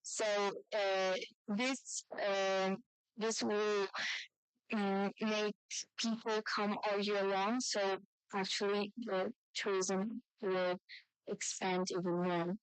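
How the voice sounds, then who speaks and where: A woman's voice: mid-range voice, flat delivery, slow pace, clean tone, no room sound, low-quality recording. A woman speaking as a participant in a formal youth parliament debate.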